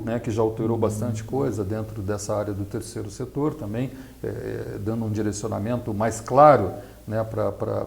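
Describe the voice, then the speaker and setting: A middle-aged man explaining his field as an interview guest, didactic and halting, often adding tag questions. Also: fairly low pitch, creaky, a little mellow. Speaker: interview guest, accountant and nonprofit consultant.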